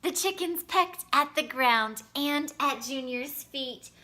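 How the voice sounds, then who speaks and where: Female children's storyteller, high and bright, expressive scripted narration, animated delivery. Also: slow pace, slight background noise.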